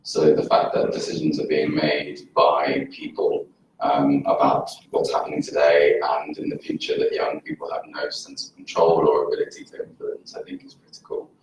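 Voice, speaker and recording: A man from a campaign group asking a panel a question from the floor, measured and articulate. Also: rumbling low, completely flat delivery, lo-fi sound, slight background noise. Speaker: audience member, campaigner. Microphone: handheld microphone. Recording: echoey hall, distant mic.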